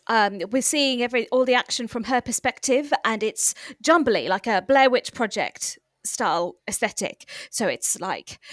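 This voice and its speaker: A woman's voice: fairly high pitch, dry recording, studio quality. A woman playing an anxious, polite, hesitant hospital worker with strange powers in an improvised comedy game. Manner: hesitant, tentative. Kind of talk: improvised comic roleplay.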